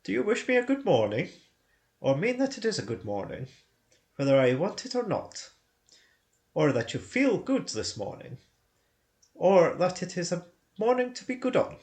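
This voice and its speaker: A man putting on a gruff old wizard, deliberate, wise, animated pitch. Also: heavily acted. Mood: probing.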